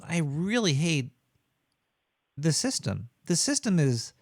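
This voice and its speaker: A man's voice: on the high side, big pitch swings, slow, no echo, studio quality. A man hosting a show, chatting casually in a cynical, rambling way and swearing freely. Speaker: radio show host. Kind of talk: casual chat.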